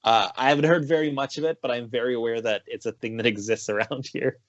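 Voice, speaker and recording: A man's voice: mid-range voice, fast, highs cut off. A man with a Canadian accent, a pro wrestler talking casually in an interview with lots of fillers. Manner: relaxed, chatty, with frequent fillers.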